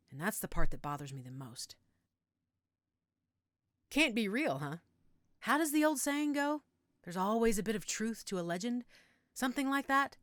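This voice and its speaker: A woman's voice: on the low side, fairly bright tone, dry recording, clean studio sound. A woman playing a frank, caring female werewolf in an audio roleplay, matter-of-fact and reassuring with a wry edge. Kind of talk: audio roleplay drama, one-sided conversation.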